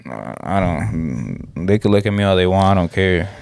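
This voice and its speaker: Low-voiced young man, casual chat. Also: normal speed, creaky, no room sound. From Dominican Republic. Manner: relaxed, rambling, slangy, many fillers. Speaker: podcast co-host.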